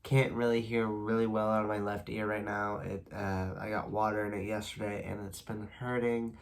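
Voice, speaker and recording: A man's voice: lowish voice, normal speed, gravelly. A young man with a stuffy, congested nose and a slightly worn voice, casually recapping baseball standings as a video host and rambling a little between stats. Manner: reads stats at a steady clip, rambling asides. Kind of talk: casual solo sports recap.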